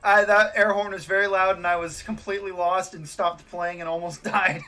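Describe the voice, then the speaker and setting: A man's voice: sits high, level tone, moderate pace, bright, forceful tone. A man chatting casually with friends on a gaming stream, cracking jokes and laughing often.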